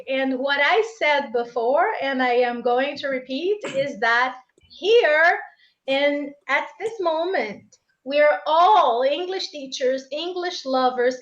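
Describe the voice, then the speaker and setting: A woman's voice: sits high, fairly bright tone. Upbeat Brazilian woman teaching English live. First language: Portuguese. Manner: upbeat, chatty, talks to viewers directly. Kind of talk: live-streamed exam prep lesson. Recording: slightly dull live-stream audio.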